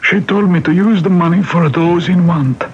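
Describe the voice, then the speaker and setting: Hissy, muffled vintage radio. Male actor as parish priest, earnest and compassionate, fairly high male voice, measured delivery. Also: close to an everyday voice. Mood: earnest, solemn. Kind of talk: 1970s radio drama acting.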